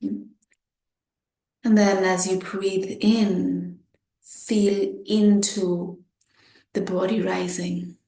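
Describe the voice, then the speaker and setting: A woman meditation teacher leading a guided meditation in short, gentle, unhurried phrases with pauses between them. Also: mid-range voice, little pitch movement. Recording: roomy, echoing sound.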